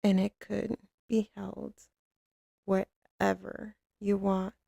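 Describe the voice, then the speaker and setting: Gravelly, doting mafia boss lady, acted by a woman. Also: mid-range voice, level tone, slow pace, mellow, dry recording, studio quality, full character voice. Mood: doting, earnest. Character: tough, commanding female mafia boss, tender and doting with lover. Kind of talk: intimate ASMR roleplay acting. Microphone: close studio microphone, dry.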